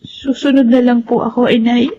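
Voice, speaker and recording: A woman's voice: fairly high pitch, level tone, moderate pace, dark tone, lo-fi sound, slight background noise, lightly acted. An actress playing a respectful young woman in a Filipino radio drama, reflective and polite, with a Filipino accent. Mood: polite, subdued. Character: gentle, respectful young woman from poor family. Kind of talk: radio drama acting and first-person narration.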